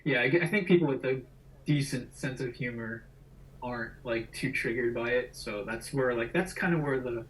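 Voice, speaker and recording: A man's voice: medium pitch, normal speed, a bit bright, poor audio. A man in his thirties from New Jersey, chatting casually as a guest calling in to a podcast. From New Jersey, United States. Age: 30s. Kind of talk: casual chat. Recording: faint background noise on video call.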